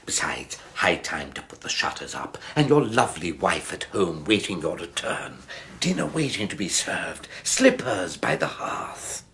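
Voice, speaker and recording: Sly old british junk dealer guy, lively sing-song patter. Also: deep voice, measured pace, in a whisper, heavily acted. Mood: wheedling. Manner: wheedling, salesman's patter. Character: sly old bachelor junk shop owner. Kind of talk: radio drama acting.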